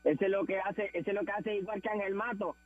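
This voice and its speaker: A man's voice: high-pitched, steady tone, a little fast, dry recording. A Puerto Rican man calling a radio show, stammering through a fired-up complaint. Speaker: caller. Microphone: phone. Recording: tinny phone line with music.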